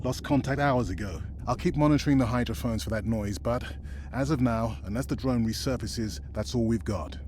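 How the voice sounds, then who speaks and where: A man's voice: mid-range voice, brisk, background noise, close to an everyday voice. A man playing a serious, matter-of-fact ocean research technician in a video game. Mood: grave. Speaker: research crew colleague. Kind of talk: video game cutscene dialogue.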